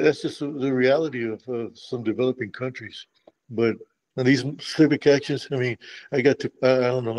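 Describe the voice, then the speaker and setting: A retired army medic from Texas, telling stories in a relaxed interview with many restarts and a habit of saying "man". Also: mid-range voice, dull audio. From Texas, USA. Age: older. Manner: frequent restarts and repeated words, says "man" often. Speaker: guest, retired Special Forces medic. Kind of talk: conversational interview storytelling.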